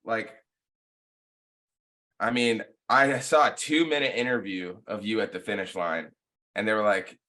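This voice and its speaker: A man's voice: on the low side, fairly even intonation, unhurried, pauses often, pushed and bright. A man hosting a podcast in a laid-back casual chat, with frequent filler words. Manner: laid-back, lots of "like" and "you know" fillers. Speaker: podcast host.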